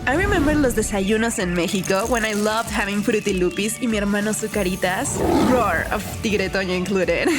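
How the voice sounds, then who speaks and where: A woman's voice: medium pitch, lively intonation, normal speed, over loud music. A woman giving a scripted, conversational bilingual testimonial for an advertisement.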